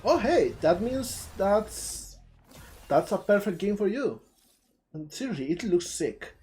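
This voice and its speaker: Mellow streamer with a southern Spanish accent. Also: medium pitch, very animated, takes it slowly. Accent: southern Spanish (Andalusian). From southern Spain. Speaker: live stream host. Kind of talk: casual live commentary on game trailers. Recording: music playing behind the voice.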